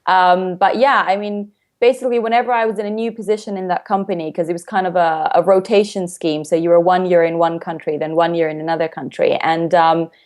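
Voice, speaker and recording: A woman's voice: lowish voice, steady tone, fairly quick, hardly any pauses, darkish tone. A woman from Finland with an international upbringing, explaining things casually and chattily as an interview guest and coach.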